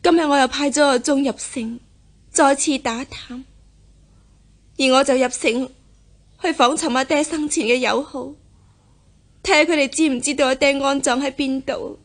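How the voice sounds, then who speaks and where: An actress playing a resolute, devoted young gentlewoman in a historical radio drama. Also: high-pitched, fairly even intonation, moderate pace, pauses often, lightly acted. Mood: anxious, longing. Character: resolute, devoted young daughter of a gentry household.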